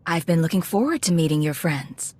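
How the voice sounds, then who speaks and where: An actress playing a cool, probing Imperial secret agent in a video game, controlled and terse. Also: female voice, deep voice, expressive, a little fast, a little mellow, breathy edge. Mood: assured. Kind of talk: video game dialogue acting.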